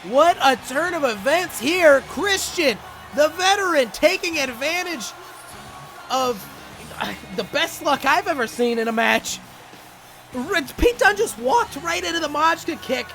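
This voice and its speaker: A young man doing excitable play-by-play commentary over a wrestling video game, coughing and saying he is losing his voice. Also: very high-pitched. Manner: excitable, rising to shouts at big moments. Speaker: gaming channel host and commentator.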